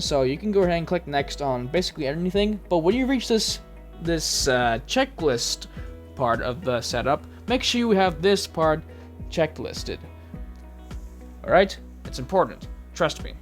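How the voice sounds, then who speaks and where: Male tutorial narrator, highish and very lively, theatrical emphasis, music underneath. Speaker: tutorial host.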